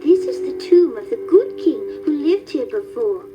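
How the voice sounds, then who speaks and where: Young princess, very high voice, unhurried, earnest, curious manner, music behind. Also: female voice, very animated. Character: spirited young princess, a polite girl. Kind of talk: storybook record acting.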